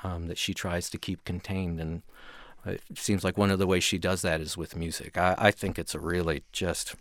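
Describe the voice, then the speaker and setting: A man's voice: sits low, flat delivery, moderate pace, a bit bright, no room sound, clean studio sound. A man co-hosting a music show, talking casually and thoughtfully, sometimes searching for his words.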